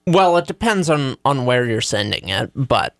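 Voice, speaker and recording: A man's voice: medium pitch, sing-song, moderate pace, vocal fry, fairly bright tone, dry recording. A man co-hosting a radio show, talking casually with dry, wry humor. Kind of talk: casual chat.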